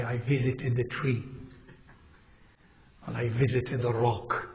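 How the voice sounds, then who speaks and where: A man teaching a congregation in a mosque, speaking in a measured, halting way with pauses and self-corrections, and putting questions to his listeners. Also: medium pitch, dull audio. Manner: asks the audience questions as he teaches. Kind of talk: Islamic lecture to a congregation. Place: mosque hall.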